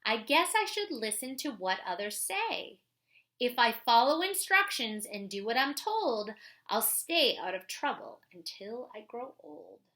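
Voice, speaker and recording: Woman reading as an earnest, very animated schoolboy. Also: fairly high pitch, measured pace. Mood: rueful. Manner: expressive, earnest, singsong. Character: earnest schoolboy learning his lesson, telling his story. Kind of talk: children's picture book read-aloud.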